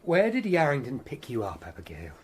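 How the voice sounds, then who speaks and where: An actor playing a grave, courteous Tudor lawyer investigator in a radio drama, earnest and measured. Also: male voice, medium pitch, huge pitch swings, a little hiss or hum. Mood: gentle, probing. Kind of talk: radio drama acting, some narration.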